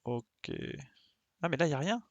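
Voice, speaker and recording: A man's voice: a little high, very animated, normal speed, lo-fi sound. A man giving casual live game commentary, muttering his reactions to himself. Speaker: game streamer.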